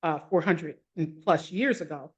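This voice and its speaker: A woman's voice: deep voice, moderate pace. An American woman hosting a podcast, responding earnestly to her guests. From United States. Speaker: podcast host. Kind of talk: interview commentary.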